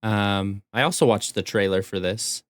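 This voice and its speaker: A man's voice: fairly low pitch, moderate pace, no echo. Man co-hosting, very dry close sound. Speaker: podcast co-host. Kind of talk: casual chat.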